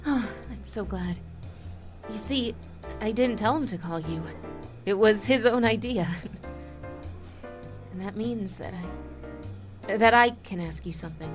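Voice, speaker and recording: A woman's voice: mid-range voice, measured pace, pauses often, music in the background, natural voice. A woman playing a sincere, tender mother in an old-time radio drama, speaking earnestly and emotionally. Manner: earnest, emotional, hesitant restarts.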